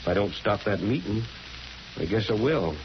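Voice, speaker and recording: Man acting a troubled mayor, low and muffled. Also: animated, normal speed, lightly acted. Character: troubled small-town mayor talking with his wife. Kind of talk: 1940s radio drama acting. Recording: noisy muffled 1941 radio recording.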